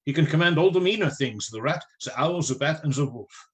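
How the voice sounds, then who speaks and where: A man playing a learned, commanding vampire hunter in a radio play, with formal, deliberate oratory. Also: on the high side, lively intonation, lightly acted. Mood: grave, commanding.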